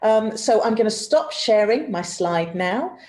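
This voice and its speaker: A woman hosts an online design talk, introducing speakers and segments in a friendly way. Her voice sits slightly high, and she fills gaps with um and uh. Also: lively intonation, measured pace, darkish tone. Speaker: host of the webinar. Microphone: video call.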